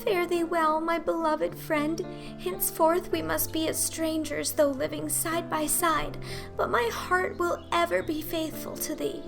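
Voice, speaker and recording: A woman's voice: very high voice, flat delivery, measured pace, gravelly, over music, voice pushed up, heavily acted. A woman voicing a melodramatic, imaginative young girl in a storybook reading, gushing in flowery, old-fashioned phrases. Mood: solemn, romantic.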